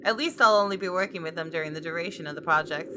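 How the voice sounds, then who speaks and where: A woman's voice: fairly low pitch, very fast, over a noisy crowd, lightly acted. A woman voicing a wry, observant female designer who narrates a visual novel in a dry, reflective manner. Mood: exasperated.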